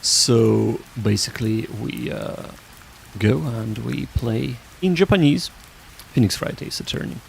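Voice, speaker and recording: Gravelly-voiced man chatting on a livestream. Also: mid-range voice, expressive, unhurried, a little hiss or hum. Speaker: livestream host. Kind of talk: casual livestream chat with viewers.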